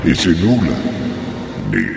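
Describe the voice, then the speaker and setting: A man narrating a horror radio drama in a slow, ominous, theatrical way, drenched in echo. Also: deep voice, wildly swooping pitch, creaky, background noise. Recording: cavernous echo and long reverb. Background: horror radio drama music.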